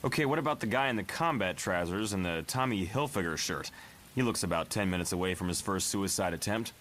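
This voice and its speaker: A man's voice: deep voice, lively intonation, a little fast, a bit bright, a little hiss or hum. A man voicing a wry, casual young student hero in a motion comic.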